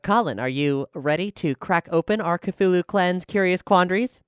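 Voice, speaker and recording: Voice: mid-range voice, animated, normal speed, smooth, no room sound, clean studio sound. An enthusiastic, articulate podcast host talking conversationally through a question-and-answer show. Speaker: showrunner and host.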